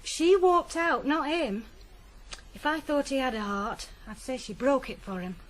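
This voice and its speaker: A woman's voice: high-pitched, measured pace, low-quality recording. An actress in a 1980s radio drama playing an unsentimental woman with a frank, matter-of-fact manner.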